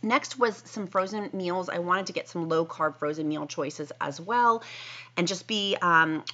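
A woman in her thirties talks through her grocery haul in a bubbly, gushing way. Her voice sits a little low and has a breathy, husky edge. It sounds like she is filming on a handheld phone. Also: unhurried, pushed and bright. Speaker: vlogger. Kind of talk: grocery haul vlog narration. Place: grocery store aisles, then home.

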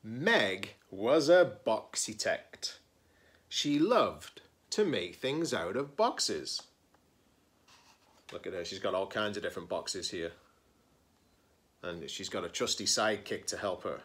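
Man reading aloud with animated pitch. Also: takes it slowly, pauses often. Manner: expressive storyteller, stressing key words. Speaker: teacher-librarian. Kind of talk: picture-book read-aloud for children with casual asides.

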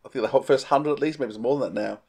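A man's voice: medium pitch, rapid-fire, vocal fry, darkish tone, breathy edge. A middle-aged man with an Australian accent, chatting casually as a podcast co-host on a video call. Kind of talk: casual chat.

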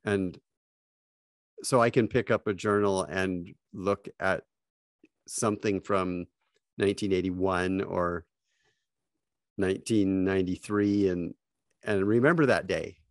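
A middle-aged man talks slowly and thoughtfully, pausing often as he recalls old days on the water. His voice sits a little low, and the sound is dry and close, picked up by earbuds on a video call from a small home office. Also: steady tone, dry recording. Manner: slow, reflective, pauses often. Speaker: podcast guest, fly fishing writer. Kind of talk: casual storytelling. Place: home office with bookshelves, indoors. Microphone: wired earbuds, video call.

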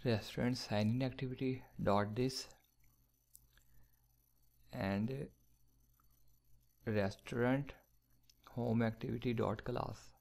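A man narrates a coding screencast, reading out each line of code as he types. His voice is gravelly and his delivery flat and unhurried, broken up by small fillers. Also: medium pitch. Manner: slow, dictating code step by step with fillers. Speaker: programming tutor.

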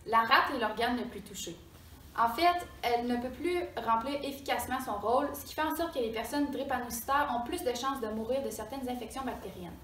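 A young woman student acting as a young doctor explains a diagnosis to a patient, pausing on fillers and correcting herself. Her voice sits a bit high. The sound is roomy and distant, with a faint hiss. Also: a bit bright, lightly acted. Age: young adult. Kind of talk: amateur school science skit. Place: mock exam room. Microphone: far camera. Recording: echoey room from far off.

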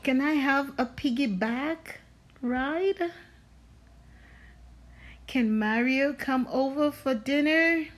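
A woman's voice: sits high, slow, pauses often. A woman reading a storybook aloud to young children as host, in a playful, affectionate sing-song manner.

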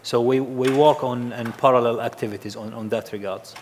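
Halting middle-aged man in a reverberant hall. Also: mid-range voice, fairly even intonation, darkish tone. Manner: halting, repeats words as he goes. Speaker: panelist, industry practitioner. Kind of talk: unscripted panel answer. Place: on stage in a large conference hall. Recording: reverberant hall with background noise.

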